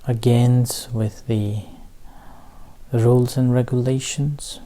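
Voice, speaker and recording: Slow, mellow male meditation teacher. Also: mid-range voice, flat delivery. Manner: halting, measured phrasing with long pauses. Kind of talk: guided meditation talk.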